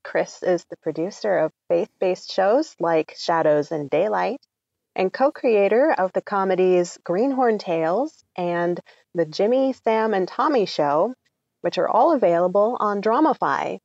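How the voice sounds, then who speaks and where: A woman's voice: mid-range voice, expressive, a little slow, creaky, darkish tone, dry recording. Female podcast co-host, dull dry recording. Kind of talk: casual chat and guest introduction. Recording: dull, lo-fi sound.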